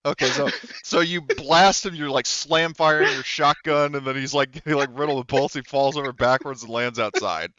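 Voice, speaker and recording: Male game master, clear smooth voice at medium pitch, casual and sweary, on dry, slightly dull audio. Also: moderate pace, hardly any pauses, pushed and bright, no room sound. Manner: profane and jokey. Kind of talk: casual tabletop roleplaying narration and rulings.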